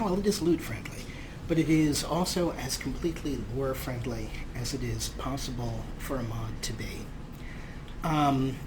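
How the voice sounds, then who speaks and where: Male let's play host, mid-range voice, casual commentary over clearly audible game ambience. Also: mid-range voice. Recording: noisy, game sound behind voice. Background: video game ambience.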